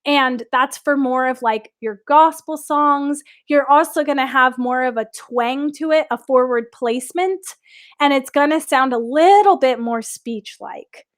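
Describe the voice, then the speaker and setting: A woman's voice: high-pitched, a little slow. A young woman teaching a live online singing class, chatting upbeat with viewers and answering their questions.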